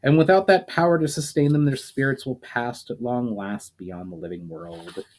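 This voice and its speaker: A man's voice: medium pitch, moderate pace, darkish tone, natural voice. A man voicing a resolute male leader who explains firmly and formally, patient but weary of repeating himself.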